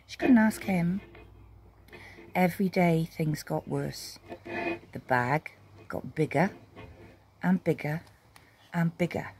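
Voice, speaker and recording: A woman reading a children's story expressively, with dramatic pauses and emphasis. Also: lowish voice, background noise.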